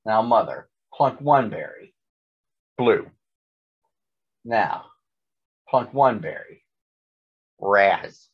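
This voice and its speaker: A man's voice: lowish voice, animated, drawn-out words, pauses often, slightly rough, dull audio, exaggerated voice. A man voicing a smug, chatty male storybook monster who gabs breezily and is devoted to his mother. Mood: instructing. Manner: gabbing and jabbering, breezily dismissive.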